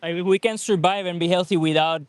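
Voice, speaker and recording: A man's voice: high-pitched, fairly even intonation, a little fast, clear, resonant tone, no echo. A man interviewing strangers outdoors at a beach with a handheld mic, pressing them with persistent ethical questions. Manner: persistent, probing, argumentative. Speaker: vegan activist interviewer. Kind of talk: street debate questioning.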